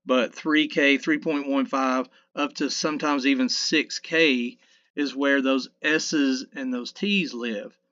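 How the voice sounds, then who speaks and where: A man in his fifties with a low mid voice, casually talking through a mixing tutorial in a rambling, self-correcting, folksy way. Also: a bit bright, highs cut off. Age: 50s. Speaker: sound engineer teaching mixing. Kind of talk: casual screen-recorded tutorial. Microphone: dynamic vocal mic.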